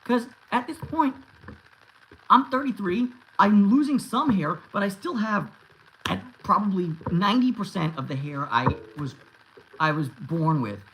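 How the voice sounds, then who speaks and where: A man's voice: sits high, expressive, measured pace, a bit bright, a little hiss or hum, low-quality recording. A man in his thirties chatting casually on a livestream and answering viewers, rambling with many restarts and fillers. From United States. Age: 30s. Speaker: YouTube host answering live chat.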